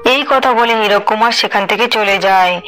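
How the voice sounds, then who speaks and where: A woman's voice: medium pitch, moderate pace, a bit bright, soft background music. A woman narrating a scripted story as the storyteller.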